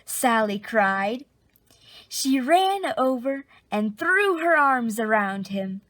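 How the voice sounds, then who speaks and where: Lady doing a picture book read aloud, high voice, super expressive, takes her time. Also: slow pace. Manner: expressive, dramatic. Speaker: reader of a children's picture book. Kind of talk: animated storybook read-aloud.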